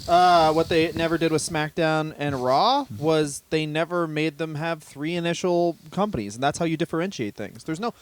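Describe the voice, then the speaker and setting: A man's voice: on the high side, moderate pace, hardly any pauses, slight background noise. A man co-hosting a comedy wrestling podcast, chatting casually and rambling between jokes. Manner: rambling, jokey, with silly put-on voices. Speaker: podcast co-host.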